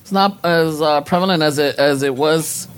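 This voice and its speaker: High-voiced joking man. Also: normal speed, clear, resonant tone. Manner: joking, slangy, slips between English and Spanish. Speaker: podcast co-host. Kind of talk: casual chat.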